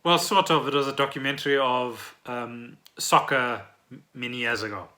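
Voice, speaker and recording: A man's voice: mid-range voice, fairly even intonation, normal speed, sharp tone. A South African man co-hosting a podcast, explaining trending news in an informal, chatty way. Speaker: podcast co-host. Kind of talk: casual chat about trending news.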